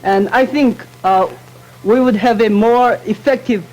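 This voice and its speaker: A man's voice: very high-pitched, a little slow, darkish tone, low-quality recording. A teenage boy, a student debater in a panel debate who speaks hesitantly, with many fillers and restarts. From Korea. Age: teens.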